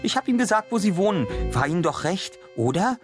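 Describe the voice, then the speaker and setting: A man's voice: sits high, very animated, a little fast, music in the background, pitched above the performer's own voice. A man voicing a chatty, eager young female shop assistant in a breezy, casual audiobook manner.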